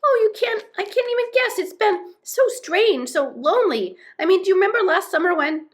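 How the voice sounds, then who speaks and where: A woman voicing a small, earnest male troll child in a storybook, plaintive and excitable. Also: extremely high pitch, moderate pace, pitched above the performer's own voice. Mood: excited, upset. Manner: plaintive, exclaiming, breathless phrasing.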